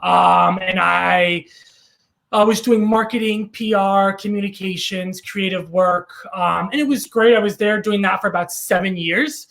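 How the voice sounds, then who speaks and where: Rambling New York man, gravelly voice. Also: high-pitched, unhurried, a bit bright. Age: 30s. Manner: rambling, many ums and restarts. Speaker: real estate broker. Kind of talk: casual interview answers.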